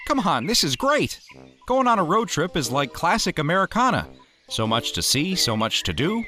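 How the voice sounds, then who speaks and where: A man's voice: a little high, big pitch swings, moderate pace, bright, forceful tone, soft background music. A man playing an earnest, enthusiastic, wide-eyed ghost hunter in an audio drama.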